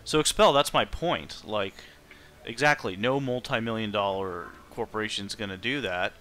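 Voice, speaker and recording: A man's voice: fairly low pitch, normal speed, bright, forceful tone. A man talking casually on a stream, opinionated and emphatic in how he makes his points. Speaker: streamer chatting during a game playthrough. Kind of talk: casual chat.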